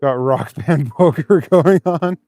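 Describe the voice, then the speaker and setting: A man's voice: a little high, slow pace, dark tone, dry recording. A man live streaming while out on cold city streets, reading chat aloud in an easygoing, chuckling, rambling way. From Canada.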